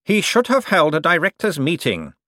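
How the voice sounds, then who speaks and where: Man voicing a smug, silkily menacing rich banker, raised pitch. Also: wildly swooping pitch, pushed and bright, no echo, clean studio sound, exaggerated voice. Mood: smooth, pressing. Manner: suave, condescending, drawn-out hints. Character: smug, silkily menacing wealthy male bank director. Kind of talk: audiobook character dialogue.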